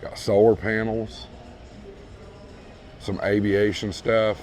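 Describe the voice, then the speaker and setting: A hoarse man losing his voice, casually walking viewers through a large store and calling them folks. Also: on the low side, little pitch movement, slow pace, darkish tone, faint music behind. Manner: easygoing, repeats praise, addresses viewers as folks. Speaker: vlog host touring a store. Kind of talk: casual walkthrough narration. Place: large retail store indoors. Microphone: handheld phone camera.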